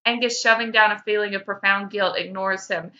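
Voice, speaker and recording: Bright voiced podcast woman, slangy n into it, kinda lo-fi mic. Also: mid-range voice, fairly even intonation, brisk. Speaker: podcast co-host. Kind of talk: casual banter and expressive fan fiction reading.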